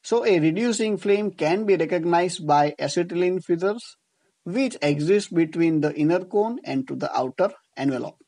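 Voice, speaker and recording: A man's voice: sits high, lively intonation, normal speed, darkish tone. A man with an Indian accent giving a scripted tutorial as an engineering teacher, explaining didactically and rephrasing his points. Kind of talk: scripted lecture narration over slides.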